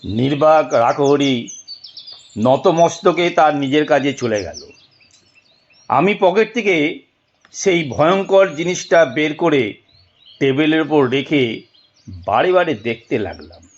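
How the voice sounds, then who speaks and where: A man narrating a detective story in the first person, theatrical and suspenseful, with deliberate phrasing. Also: fairly high pitch, lively intonation, lo-fi sound.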